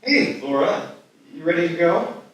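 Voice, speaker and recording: A tentative, eager man played by an actor with a lively, varied pitch. He reads his part in a stage table read, distant from the mic in a very echoey room. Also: a little slow. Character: hesitant, eager man seeing a paid companion. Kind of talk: screenplay table read before a live audience.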